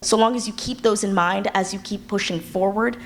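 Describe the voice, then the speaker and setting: A woman's voice: mid-range voice, steady tone, brisk. A woman speaking as a panelist at a live event, giving candid, encouraging advice in casual talk. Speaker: audio drama creator, panelist. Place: live convention panel before an audience. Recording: live panel with background room noise.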